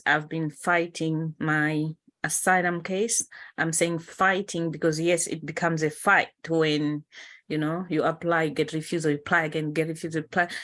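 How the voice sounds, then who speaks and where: A woman from Malawi, a podcast guest, talking casually and haltingly with fillers. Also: sits low, a bit bright. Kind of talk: casual conversation.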